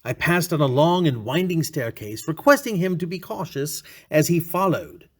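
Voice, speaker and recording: Male narrator for gothic fiction: fairly high voice, very expressive melody, theatrical and deliberate delivery. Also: breathy edge. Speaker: story reader. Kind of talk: dramatic gothic short-story narration.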